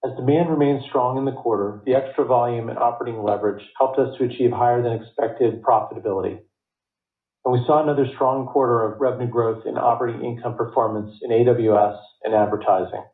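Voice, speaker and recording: A man reading a scripted earnings report as a company finance executive, in a formal, measured way. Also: mid-range voice, fairly even intonation. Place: conference call line. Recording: tinny phone line, roomy echo.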